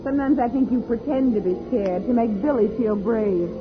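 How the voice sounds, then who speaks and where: An actress plays a caring woman responsible for children, earnest and a little anxious. Her voice is high, in a 1950s radio drama manner. The recording is narrow and tinny with clear noise. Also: fairly quick, close to an everyday voice. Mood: composed, gently uneasy. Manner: concerned, earnest. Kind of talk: 1950s radio serial acting.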